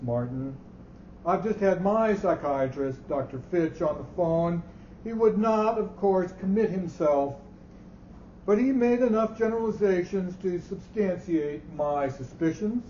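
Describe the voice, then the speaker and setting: Man playing a high-voiced, fussy old boss, roomy recording. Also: dark tone, close to an everyday voice. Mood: hesitant, regretful. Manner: halting, formal, grave. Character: elderly male company boss, hesitant and fussy. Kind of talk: staged dramatic reading of a short story. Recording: roomy, muffled live recording with hiss.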